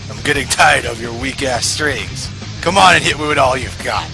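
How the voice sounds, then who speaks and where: A man's voice: mid-range voice, lively intonation, moderate pace, vocal fry. A man playing an arrogant, foul-mouthed fighting priest, brash and smug, in an anime parody dub. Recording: loud music behind.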